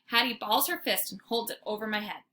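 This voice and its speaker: A woman's voice: on the high side, a little fast, bright, forceful tone. A woman reading aloud as a teacher would, narrating a children's story expressively with dramatic emphasis.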